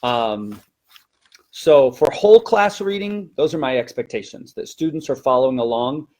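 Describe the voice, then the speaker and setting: A man's voice: mid-range voice, expressive, moderate pace, darkish tone. A man who teaches elementary Spanish, giving an explanatory teacher-training talk in a hesitant, self-correcting way.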